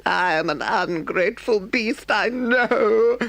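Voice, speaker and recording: Boastful toad, high creaky voice, theatrical, gushing, storybook character. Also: male voice, slow pace, a bit bright, no room sound, full character voice. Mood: tearful remorse. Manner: melodramatic, gushing, self-pitying. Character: vain, boastful, theatrical male toad, mock-humble and excitable.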